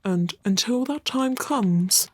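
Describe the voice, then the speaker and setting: Mysterious female collector, played by an actress: slow, musing, theatrical narration, close dry sound. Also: medium pitch, animated, a little mellow, slightly rough, dry recording. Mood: brooding, resolute. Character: brooding, secretive female collector musing on stories. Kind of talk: scripted dramatic monologue.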